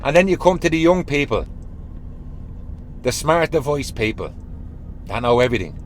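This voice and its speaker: A man with a Dublin accent talks to his viewers in a hoarse, scratchy voice, since he has a sore throat. He repeats his phrases and drops teasing hints in a conspiratorial way. Faint traffic hums in the background outdoors. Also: on the high side, sing-song, normal speed. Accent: Irish, Dublin. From Ireland. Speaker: channel host. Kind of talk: casual monologue to camera.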